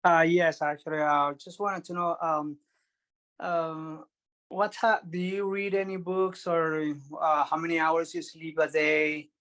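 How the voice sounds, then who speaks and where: A man's voice: a little high, level tone, unhurried, fairly bright tone. A man from the audience asking a question on a video call, hesitant and full of fillers. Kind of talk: live Q&A question.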